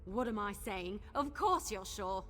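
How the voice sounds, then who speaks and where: An actress playing a caring, expressive female ally in a fantasy game, speaking in a chatty way. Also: mid-range voice, measured pace, faint music behind. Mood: concerned, wry. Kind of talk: scripted fantasy game acting.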